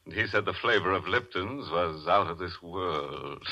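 Low-voiced, ghoulish radio horror host. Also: male voice, lively intonation, a little slow, pushed and bright, exaggerated voice. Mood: sly, playful. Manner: theatrical, sly, tongue-in-cheek. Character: ghoulish, gleefully morbid male horror host. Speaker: host and announcer. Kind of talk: 1940s radio horror hosting. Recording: dull vintage radio, organ-era music behind.

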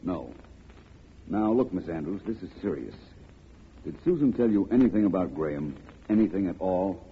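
An actor playing a cool, shrewd, commanding gentleman detective in a 1940s radio drama, speaking in a measured, articulate way. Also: low-pitched, expressive, slightly rough, heavily acted. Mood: grave, pressing. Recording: muffled, noisy old radio recording.

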